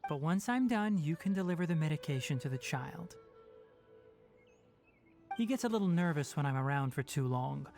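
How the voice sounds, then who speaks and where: An actor as a precise, lively-toned young forest ranger. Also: mid-range voice, close to an everyday voice. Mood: composed. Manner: matter-of-fact, measured, instructive. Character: young male fox-eared forest ranger, precise, blunt, caring mentor. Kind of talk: video game voice acting. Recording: game music behind the voice.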